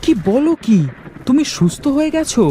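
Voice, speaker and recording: A man's voice: very high-pitched, expressive, normal speed, mellow, slight background noise. A man voicing a humble, earnest young village farmer who exclaims a lot in a cartoon story. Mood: amazed. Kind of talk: animated moral-story dubbing.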